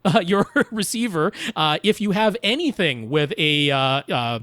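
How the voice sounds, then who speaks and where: A man's voice: a little high, animated, unhurried, a bit bright, dry recording, clean studio sound. A man co-hosting a podcast, explaining product options casually and in methodical detail, with careful qualifiers. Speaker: podcast co-host.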